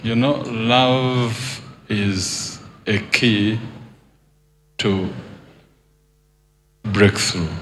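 A man leading a prophecy session, preaching slowly and deliberately into a handheld mic in a large church hall. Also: mid-range voice, slight background noise. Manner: slow, deliberate preaching with emphatic lines.

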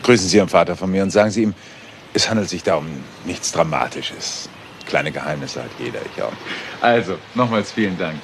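An actor playing a polite, secretive man with shady business in a German crime radio play, speaking in a measured, persuasive way. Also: low-pitched, lively intonation, creaky. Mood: polite, guarded. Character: smooth, secretive male stranger with shady business. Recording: loud vehicle and street noise.

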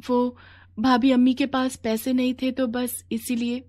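A woman's voice: sits high, level tone, measured pace, pitched above the performer's own voice. A woman voicing a shy, humble young henna artist in a cartoon story. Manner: soft, humble.

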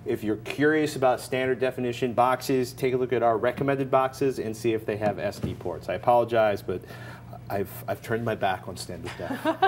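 A man's voice: medium pitch, moderate pace, a little hiss or hum. An American man in his forties co-hosting a tech show, talking casually in a studio. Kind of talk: casual tech commentary and sponsor reads. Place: indoor TV studio.

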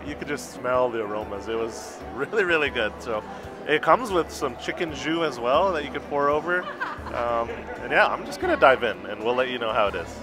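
A man in his thirties reviewing a restaurant meal, gushing about each dish, recorded on a lapel mic at a busy indoor restaurant. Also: medium pitch. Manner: enthusiastic, gushing about food. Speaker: food vlogger.

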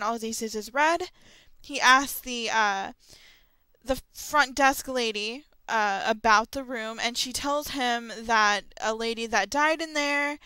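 Bright, high-voiced young woman chatting casually. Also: clean tone, no room sound. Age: young adult. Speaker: vlogger and host of an audio log. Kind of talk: casual rambling solo chat about horror stories.

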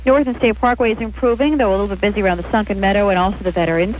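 A woman's voice: mid-range voice, fairly even intonation, very fast, darkish tone. A woman giving a traffic report on the radio as the station's traffic reporter. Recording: noisy radio aircheck.

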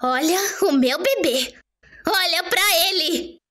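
A woman with a Brazilian accent playing an excitable young cat owner, narrating an animated storytime fandub in a cutesy, expressive way. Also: extremely high pitch, takes it slowly, full character voice. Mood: pleading, adoring.

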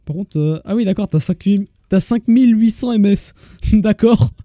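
A man streaming a game, bantering quickly and excitably with his friends in casual chat. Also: sits high, no echo, clean studio sound. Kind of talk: casual gaming chat over voice chat.

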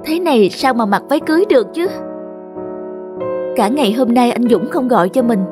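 A plaintive young bride-to-be voiced by a woman with a high voice, over prominent music. She is insecure and hard on herself, in the manner of cartoon drama. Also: expressive, brisk, close to an everyday voice. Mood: glum. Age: young adult. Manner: plaintive, self-critical. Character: insecure young bride-to-be fretting about her weight. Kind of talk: animated cartoon dialogue.

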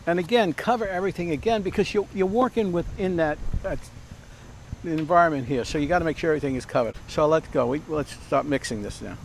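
A man's voice: a little high, quick pace, creaky, a little hiss or hum. An older man hosting a casual outdoor cooking demonstration, chatty and rambling with many fillers and restarts. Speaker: cooking show host. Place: outdoor backyard by a fence. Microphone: lapel mic.

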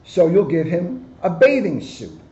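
A man in his sixties, a police officer, reading a picture book aloud with joking asides, in a high-pitched voice. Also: big pitch swings, a little slow, dark tone. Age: 60s. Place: office, indoors. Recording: dull, lo-fi camera microphone.

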